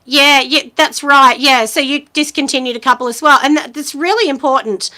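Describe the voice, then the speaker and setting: A woman in her forties with a husky voice, hosting a relaxed live stream from home. Her voice sits high and has a bright, forward edge. She chats encouragingly and casually, scattering ums and you-knows through her advice. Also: normal speed. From Australia. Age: 40s. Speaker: small business owner hosting a live chat.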